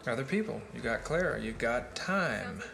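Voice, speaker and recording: A man's voice: medium pitch, very animated, moderate pace, vocal fry. An actor playing a rambling, fatalistic party guest who holds forth philosophically in a naturalistic film monologue, building his argument step by step in a conversational lecture. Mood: probing, musing. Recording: roomy film dialogue with music behind. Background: music.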